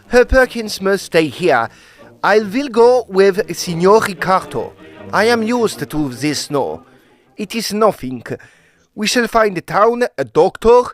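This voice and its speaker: An actor playing a brisk, confident member of a Victorian opera company with a German accent, in a period audio drama. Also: male voice, sits high, exaggerated voice.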